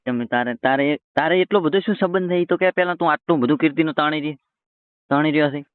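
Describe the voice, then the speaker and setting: A man's voice: a little high, quick pace, smooth, no echo. A man in a heated phone argument, talking in a colloquial, stubborn and confrontational way. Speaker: roast video creator taking a call. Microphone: phone call. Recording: tinny phone-line call recording.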